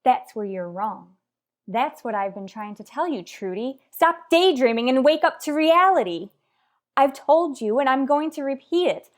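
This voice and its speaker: A woman's voice: high voice, expressive, normal speed. A woman playing a headstrong married mother in a radio soap, lecturing her rival bluntly and emphatically. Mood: firm, exasperated. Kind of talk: radio soap opera acting.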